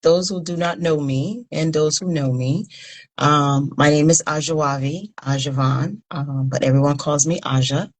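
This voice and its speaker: A woman in her fifties from Liberia, speaking as an interview guest. Her voice is exceptionally low, with a heavy creaky fry. She answers conversationally and earnestly, pausing between phrases. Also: steady tone, rough recording. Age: 50s. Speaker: interview guest, humanitarian founder.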